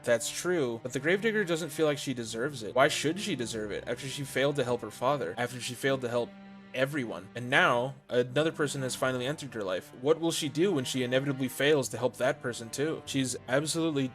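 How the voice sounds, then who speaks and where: A man's voice: medium pitch, fast. A man narrating a scripted story recap as a video essayist, articulate, with dramatic storytelling phrasing. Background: soft music.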